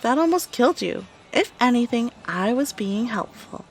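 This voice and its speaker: A woman's voice: fairly high pitch, animated, moderate pace. A woman acting a playful young gamer on a date in an audio roleplay, teasing and blunt in a casual way.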